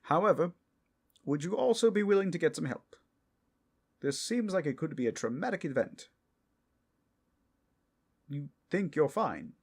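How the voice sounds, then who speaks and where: A man acts out a famous, blundering but well-meaning superhero in a comedic audio drama. His voice is fairly high and husky, with very animated pitch, moving between heroic bluster and earnest, chatty rambling. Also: unhurried, long pauses, exaggerated voice. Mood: breezy, concerned.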